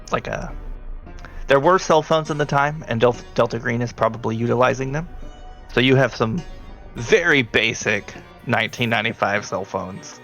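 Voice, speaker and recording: A man narrating a tabletop roleplaying game as game master, speaking haltingly and deliberately. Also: medium pitch, a bit bright, soft background music. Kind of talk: narrating a tabletop roleplaying game, partly in character as a briefing handler.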